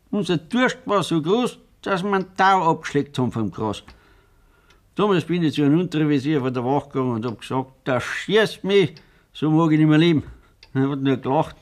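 An actor playing a resigned old retired farmer in a German radio play, rueful and plain-spoken, with a Bavarian accent. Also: male voice, on the high side, a little mellow, lightly acted.

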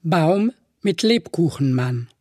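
Man reciting poems real slow, voice a bit on the high side, music behind. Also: expressive, a little mellow, husky, no room sound, studio quality. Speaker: reader. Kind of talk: poetry recitation.

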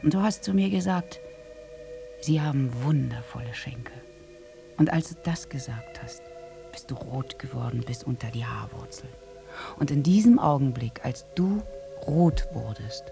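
An actress playing a war-scarred, lovestruck young prostitute in a German radio drama monologue, speaking intimately and haltingly between breathy hush and intensity. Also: female voice, a bass voice, expressive, soft background music, full character voice. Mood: tender, hushed.

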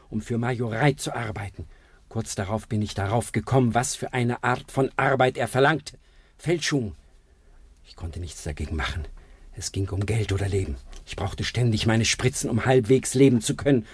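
Actor as husky, broken addict forger. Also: male voice, medium pitch, moderate pace, natural voice. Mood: bitter, confessing. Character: bitter, broken, drug-addicted male forger held prisoner. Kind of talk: 1960s German radio crime drama acting.

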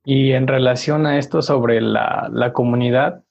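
A man's voice: medium pitch, fairly even intonation, a little slow, creaky, darkish tone, slight background noise. A man hosting a podcast and putting questions to a guest in a conversational style. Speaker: podcast host. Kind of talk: conversational interviewing. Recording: dull, lo-fi audio.

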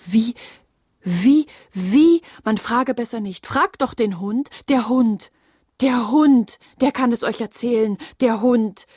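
An actress playing a haunted, defiant and grieving young woman in a poetic radio drama, speaking declamatory lines that shift from urgent to hushed. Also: on the high side, slow pace, husky, no room sound, studio quality.

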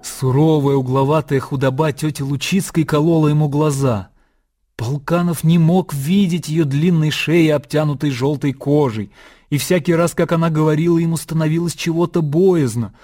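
A man narrating a literary radio drama in a measured storytelling manner. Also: a little high, steady tone, dark tone, soft background music.

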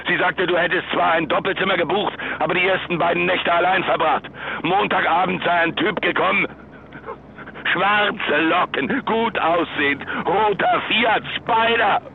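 An actor in a radio drama playing a desperate, menacing yet lovesick husband, agitated and halting on a mobile phone call. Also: male voice, sits high, creaky. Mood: bitter, agitated. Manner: agitated, halting, repeats words. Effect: mobile phone filter. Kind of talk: German radio drama acting. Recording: noisy phone line from the road.

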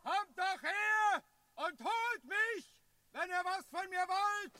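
A cornered gangster boss hurling taunts in a vintage radio play. His voice is slow and sharply bright, heard dry and from a distance. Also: very high voice, dry recording, exaggerated voice. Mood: taunting. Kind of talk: 1960s radio drama acting.

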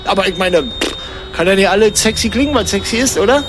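A man's voice: high voice, expressive, fast talker, noisy background. A man chatting casually and argumentatively, needling the people he talks with. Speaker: radio show participant. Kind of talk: casual bantering conversation.

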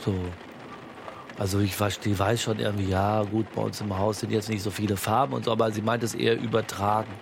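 An actor playing a plain, slightly clueless husband in an improvised radio comedy, talking in a casual, rambling, hesitant way. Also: male voice, lowish voice, level tone, brisk, vocal fry, noisy background. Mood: rambling, earnest.

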